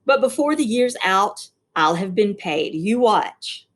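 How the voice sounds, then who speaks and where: Woman as country lawyer dad, calm, wry, measured storybook dialogue. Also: mid-range voice. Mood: reassuring.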